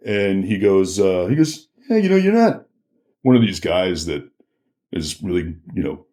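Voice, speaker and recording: A man's voice: sits low, big pitch swings, measured pace, dark tone. A middle-aged man with an American accent telling anecdotes casually as a podcast guest, acting out bits of dialogue.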